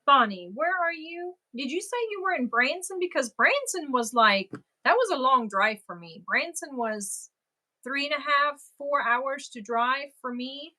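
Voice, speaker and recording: Bright-voiced woman chatting on stream. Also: high voice, unhurried. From Germany. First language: German. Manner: chatty and animated, laughs easily. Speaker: crochet livestream host. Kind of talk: casual livestream chat answering viewer comments.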